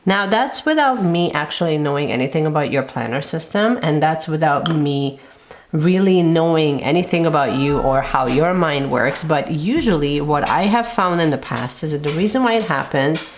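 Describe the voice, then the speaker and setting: Woman, low voice, raspy from a cold, casual chatty host, lots of fillers. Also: animated, moderate pace, slight background noise. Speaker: planner channel host. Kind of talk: casual chat to camera.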